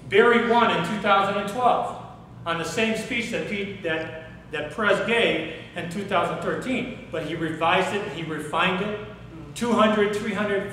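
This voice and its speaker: A middle-aged man giving a workshop talk on public speaking, animated and asking the audience questions in a hotel conference room. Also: high-pitched, slight background noise. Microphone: far camera, no mic seen. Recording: echoey room recorded from far off.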